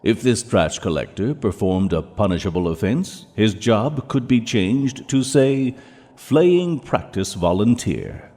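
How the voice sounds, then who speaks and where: An actor playing a stern, menacing ruler of Hell in an audio drama, speaking in a measured, commanding and pompous way. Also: male voice, on the low side, animated, warm tone, full character voice. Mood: coldly commanding. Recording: long hall reverb.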